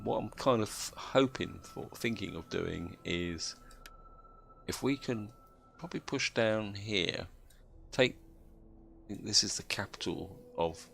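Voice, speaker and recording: A man with a cold and a slightly croaky voice, calmly explaining his moves as he plays a strategy game. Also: low-pitched. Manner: steady, explanatory, thinks aloud. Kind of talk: gameplay commentary.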